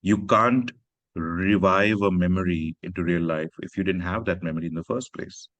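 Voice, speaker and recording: A man's voice: sits low, moderate pace, fairly bright tone, no room sound. A man from Pakistan, an author, speaking earnestly and reflectively at a festival chat, often adding "you know" and "right". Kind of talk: conversational literary talk. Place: literary festival stage.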